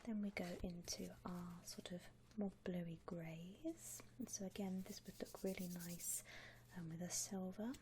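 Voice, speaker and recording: A woman playing a gentle vampire coffin saleswoman in a whispered ASMR roleplay, unhurried, with hesitant pauses and soft hums. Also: mid-range voice, slight background noise, natural voice. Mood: soft, attentive. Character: softly whispering female vampire coffin saleswoman, attentive and discreet. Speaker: ASMR performer playing a shop consultant.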